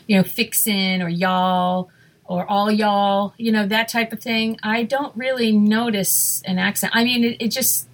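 A woman with a Massachusetts accent in casual chat, telling animated stories and acting out quotes. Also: mid-range voice, unhurried. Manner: animated, storytelling, acts out quotes.

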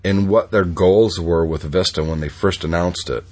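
Host, low man's voice, stuffy and congested, halting with lots of ums. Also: a little mellow. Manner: halting, many fillers and restarts. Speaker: podcast host. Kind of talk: casual podcast chat.

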